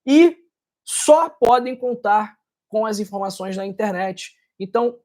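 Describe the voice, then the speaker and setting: A man with a Carioca accent from Rio de Janeiro, a doctor talking to camera in a direct, scolding way, ending many sentences with a tag question. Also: very high voice. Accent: Carioca Brazilian Portuguese. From Rio de Janeiro, Brazil. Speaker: doctor and health channel host. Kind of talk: casual talk to camera, answering viewer comments.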